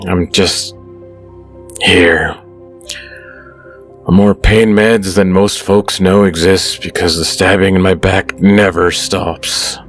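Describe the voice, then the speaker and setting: An actor playing a weary young man gamer in chronic pain, who sighs often and confesses quietly. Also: deep voice. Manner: quiet, sighing, self-critical confession.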